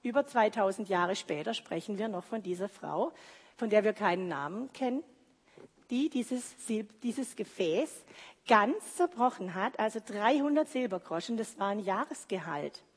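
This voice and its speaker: Husky-voiced woman preaching in a hall. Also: medium pitch, animated. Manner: conversational, explaining slowly. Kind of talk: sermon with personal anecdotes. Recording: reverberant hall.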